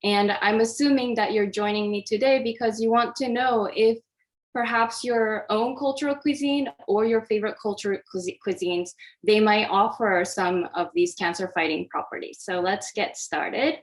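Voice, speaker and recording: A woman's voice: medium pitch, steady tone, normal speed. Japan-born woman dietitian giving an online talk. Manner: friendly, hesitant with frequent fillers. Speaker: oncology dietitian presenter. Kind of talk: interactive online nutrition presentation.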